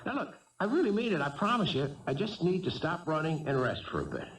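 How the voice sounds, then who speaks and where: An actor playing a wary fugitive killer in a 1970s radio drama, threatening people in a halting, polite way. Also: male voice, fairly high pitch, very animated, reverberant, recorded from a distance, low-quality recording. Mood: pressing, wary.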